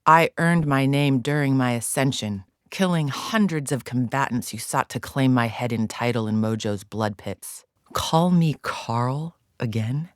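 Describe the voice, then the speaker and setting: A woman's voice: extremely low pitch, dry recording, studio quality. An actress playing a haughty alien gladiator in a video game, formal, clipped and menacing as she guides the player with open contempt. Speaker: mission guide character.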